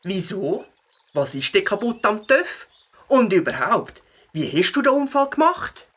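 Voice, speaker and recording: A woman voicing a helpful, confident young beaver with a husky voice and a Swiss German accent in a children's audio play. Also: medium pitch, sing-song, a little slow. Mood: questioning.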